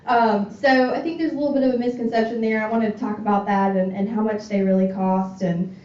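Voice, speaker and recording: A woman's voice: medium pitch, fairly even intonation, fairly quick, darkish tone, a little hiss or hum. A woman, a hearing specialist giving an informal talk, chatty and full of fillers. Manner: chatty, many fillers and restarts. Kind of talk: informal community presentation. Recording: roomy, distant, dull sound.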